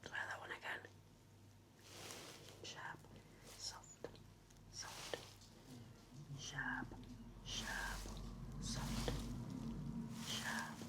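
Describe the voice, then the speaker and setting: A woman whispering in a gentle, soothing, encouraging way as she role-plays an attentive nurse for ASMR. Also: drawn-out words, pauses often. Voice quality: breathy whisper. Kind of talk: whispered ASMR medical exam roleplay.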